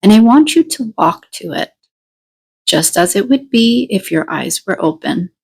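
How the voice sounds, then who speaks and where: A woman leading a guided meditation, giving deliberate instructions in short phrases with pauses between them. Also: medium pitch, big pitch swings, creaky, husky.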